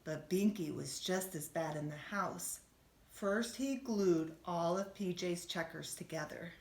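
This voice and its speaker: A woman with a slightly low voice reads a children's storybook in a roomy, echoing space. She is expressive and speaks directly to young listeners, gently putting questions to them as a teacher would. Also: a little slow. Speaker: schoolteacher reading a bedtime story. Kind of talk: children's storybook read-aloud with asides to listeners. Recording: roomy, echoey room sound.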